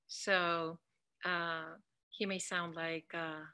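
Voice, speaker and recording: A woman's voice: fairly low pitch, little pitch movement, drawn-out words, very noisy. A woman chatting casually as a workshop attendee. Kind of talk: casual chat.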